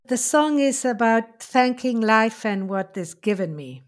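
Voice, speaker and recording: A middle-aged woman with an Argentine Spanish accent, telling her life story in a slow, heartfelt way with many pauses. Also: on the high side, animated. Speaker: interviewee. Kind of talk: reflective personal storytelling.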